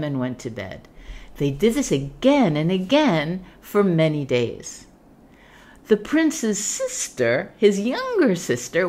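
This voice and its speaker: A woman reading a fairy tale aloud in an expressive, deliberate storytelling manner with dramatic pauses. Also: on the low side. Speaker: podcast storyteller. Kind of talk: scripted fairy tale narration, read aloud.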